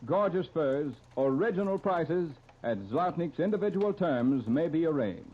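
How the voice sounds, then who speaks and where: An old, lo-fi radio recording from the 1930s carries a man's somewhat high voice. He has an American accent and reads announcements and ad copy with formal, polished studio diction. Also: animated, normal speed. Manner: formal, polished scripted delivery. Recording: dull, lo-fi 1930s radio transcription disc.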